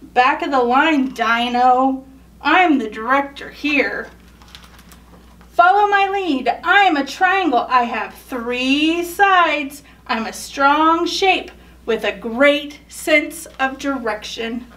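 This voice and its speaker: A woman's voice: high-pitched, lively intonation, slow, fairly bright tone, recorded from a distance, full character voice. A woman voicing a bossy, self-assured triangle who directs a show in a picture book, commanding and dismissive.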